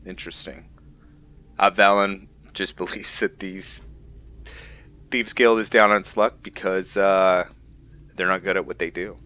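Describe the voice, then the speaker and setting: A man with a low, bright-edged voice gives casual commentary on a roleplay game. He muses aloud wryly, recorded on a slightly dull, band-limited microphone. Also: flat delivery, measured pace, long pauses. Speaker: gaming host.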